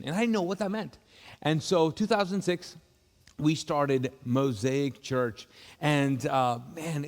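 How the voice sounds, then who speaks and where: A man, a pastor, giving a casual, conversational welcome talk with many fillers and restarts. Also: mid-range voice. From Kuwait. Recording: long hall reverb.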